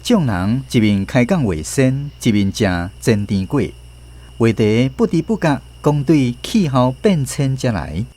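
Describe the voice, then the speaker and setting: A man's voice: medium pitch, sing-song, unhurried, dark tone. A man with a Taiwanese accent narrating a radio drama.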